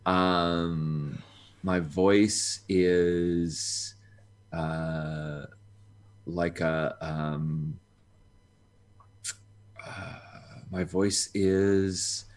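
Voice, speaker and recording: Man, very low voice, unhurried, halting, tabletop player. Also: fairly even intonation. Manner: hesitant, many fillers and restarts. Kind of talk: casual actual-play chat.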